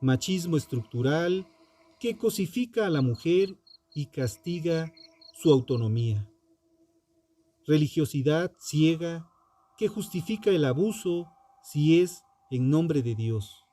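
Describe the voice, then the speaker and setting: A man narrating a scripted true crime story with dramatic, deliberate phrasing and pointed pauses. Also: on the high side, animated, mellow. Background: music under the voice.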